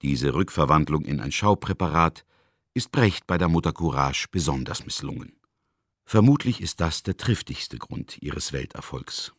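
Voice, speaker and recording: A man reads scripted literary commentary. He is measured and articulate, placing clear emphasis on key words. The recording is close and dry. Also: extremely low pitch, animated, fairly bright tone, no echo. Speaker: radio presenter introducing a classic play.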